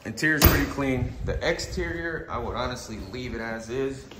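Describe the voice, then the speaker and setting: A man's voice: mid-range voice, normal speed, reverberant, noisy background. A man losing his voice to a cold, with a stuffy nose, talking casually about a car in his garage with lots of ums. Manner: rambling, with many ums and uhs. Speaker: car builder. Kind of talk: casual walkaround narration.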